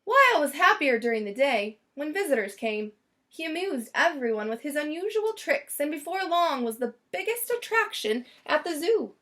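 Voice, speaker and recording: A woman reading a children's picture book aloud as storyteller, expressively and with deliberate dramatic emphasis. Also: high-pitched, big pitch swings, pushed and bright.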